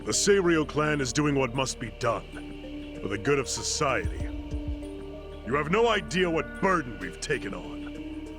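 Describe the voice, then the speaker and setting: A man's voice: medium pitch, big pitch swings, measured pace, over music. A man playing a smug, menacing yakuza villain who runs a facility in a game cutscene, condescending and threatening. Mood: self-righteous, menacing. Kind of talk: dramatic game cutscene voice acting.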